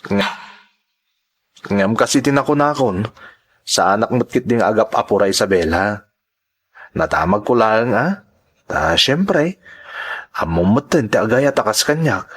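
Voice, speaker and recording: A man's voice: lowish voice, animated, a little slow, pauses often, slightly rough. An actor with an Ilocano Filipino accent playing an earnest male suitor in a romantic audio drama. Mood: pleading, flustered.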